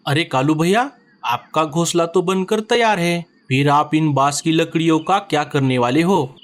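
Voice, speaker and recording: A man's voice: on the high side, moderate pace, lightly acted. A man voicing an easygoing, lazy male sparrow husband in a children's cartoon, grumbling and pleading. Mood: earnest. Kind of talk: cartoon voice acting.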